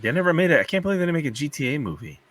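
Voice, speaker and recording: A man's voice: on the high side, big pitch swings, rapid-fire, a bit bright. A middle-aged man chatting casually as a podcast panelist. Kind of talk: casual chat.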